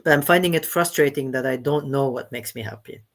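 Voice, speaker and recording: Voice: mid-range voice, a little fast. A moderator reading out audience questions during an online session.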